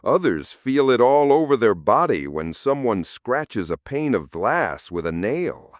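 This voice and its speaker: A man plays a living shadow who has become a pompous gentleman in a storybook tale. He boasts and condescends with hugely animated pitch, lingering over his words in a very dry, close recording. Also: unhurried, no echo. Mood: patronizing, lordly. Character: smug, self-important living shadow turned wealthy gentleman.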